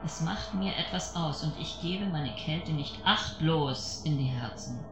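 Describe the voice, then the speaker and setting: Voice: medium pitch, a little slow, close to an everyday voice. A performer playing a cold, vengeful angel in a poetic radio-play monologue, solemn, declamatory and sorrowful. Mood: cold, brooding. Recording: roomy echo with music behind. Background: music.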